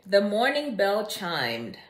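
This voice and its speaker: A woman presents children's books in a lively, swooping voice with an unhurried pace. Her delivery is enthusiastic and deliberate, like a storyteller. The room adds noticeable echo. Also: medium pitch. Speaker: book reviewer and children's read-aloud host. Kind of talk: scripted-sounding channel introduction and animated children's story reading.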